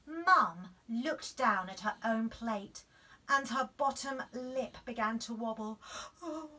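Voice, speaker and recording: A woman reading picture books to children as an expressive storyteller. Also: fairly high pitch, takes it slowly, distant mic, low-quality recording.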